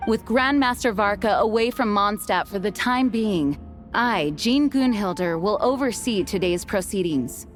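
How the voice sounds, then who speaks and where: A woman playing a dutiful young knight commander presiding over a trial, speaking in a formal, measured, authoritative way. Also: mid-range voice, animated, close to an everyday voice. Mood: composed, formal. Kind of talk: scripted game cutscene acting. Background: soft background music.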